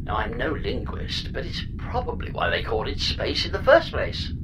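A woman's voice: very deep voice, huge pitch swings, moderate pace, noisy background, full character voice. A woman voicing a chirpy, scatterbrained space pod computer in a sci-fi audio comedy, speaking with whimsical, flippant, hammy relish. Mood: blithe.

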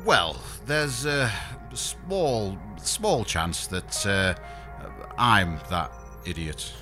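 A man's voice: on the low side, sing-song, drawn-out words, sharp tone, soft background music, heavily acted. A man playing a bashful, apologetic space engineer who stammers awkwardly in a sci-fi audio comedy. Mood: sheepish.

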